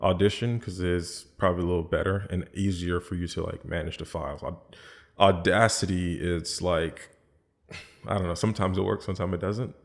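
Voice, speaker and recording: A man's voice: low voice, fairly even intonation, a little fast, creaky. A man with an American accent, a podcast guest in casual chat, easygoing and rambling with many fillers and restarts. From born in the Bahamas, raised in the US.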